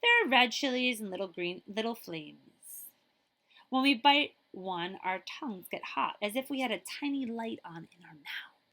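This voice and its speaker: A woman's voice: a little high, animated, moderate pace, sharp tone. A woman school librarian reading a children's picture book aloud expressively, with chatty asides to her listeners.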